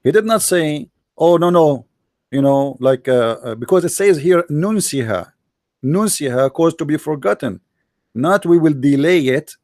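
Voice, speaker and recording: A man's voice: fairly high pitch, lively intonation, measured pace, no echo, clean studio sound. A man with an Arabic accent arguing hard in a religious debate, quoting sources and pressing his opponent. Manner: forceful, argumentative, quotes sources. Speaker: debate host.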